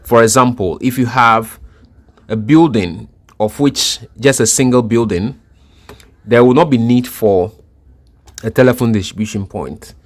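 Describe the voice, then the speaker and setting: A man's voice: mid-range voice, unhurried, pauses often. A man giving an unscripted, explanatory tech tutorial as an instructor, methodical and repeating his points for emphasis.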